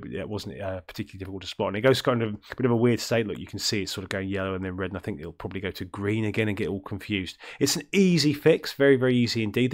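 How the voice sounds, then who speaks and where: A man with a deep, throaty voice from illness, talking through a coding tutorial in a rambling way, with many ums and self-corrections. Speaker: game development tutorial host. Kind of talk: screen-recorded coding tutorial.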